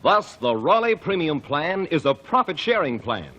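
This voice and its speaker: A male announcer with a husky voice reads with persuasive, polished delivery. His voice sits slightly high and moves a lot in pitch. The sound is dull, like a 1940s radio broadcast. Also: very animated, normal speed, a bit bright. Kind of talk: scripted commercial and narration.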